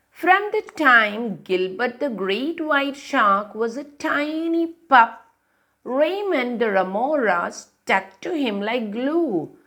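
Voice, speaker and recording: A woman's voice: fairly high pitch, fairly bright tone. A woman hosting a children's storytime, reading a picture book aloud and pointing out the pictures.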